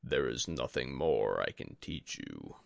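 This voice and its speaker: A man voicing a solemn, resigned, tainted old sorcerer and teacher in a slow, grave, measured way for a fantasy audiobook. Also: rumbling low, sing-song, gravelly, dry recording, pitched below the performer's own voice, exaggerated voice. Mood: calm, stern.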